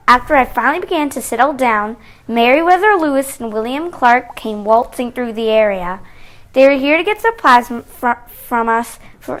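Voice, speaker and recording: Eager young woman guide young woman reading, fairly high, plain. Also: fairly bright tone, lightly acted. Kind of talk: school-project role-play reading.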